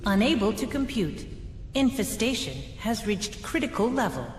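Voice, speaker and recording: A cold echoing computer system. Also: female voice, on the high side, moderate pace. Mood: clinical. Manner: flat, formal, announcing. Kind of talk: video game cutscene. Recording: echoey, reverberant, music underneath.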